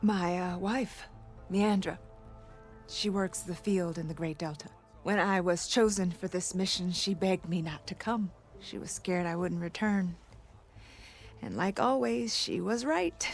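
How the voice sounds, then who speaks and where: An actress playing a despondent, homesick female navigator in a video game, with a fatalistic and measured way of talking. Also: fairly low pitch. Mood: regretful. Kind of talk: video game cutscene acting. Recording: music behind the dialogue.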